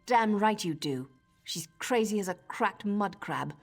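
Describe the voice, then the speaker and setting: A woman voicing a blunt, scornful dark elf assassin in a game. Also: mid-range voice, expressive, a little slow, in a large hall, soft background music.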